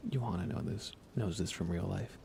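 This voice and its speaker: A soft-spoken man chatting casually in an ASMR game livestream, often slipping into a whisper as he rambles along unhurriedly. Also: deep voice, moderate pace, gravelly, background noise. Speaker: live streamer. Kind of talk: soft ASMR gaming stream, casual chat with viewers.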